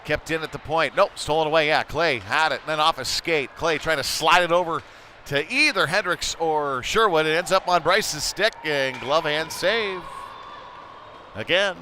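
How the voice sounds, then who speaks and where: A man's voice: fairly high pitch, normal speed, bright, forceful tone. Male hockey commentator over noisy rink sound. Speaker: play-by-play commentator. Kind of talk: live ice hockey commentary. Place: indoor ice rink. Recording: noisy rink ambience behind voice.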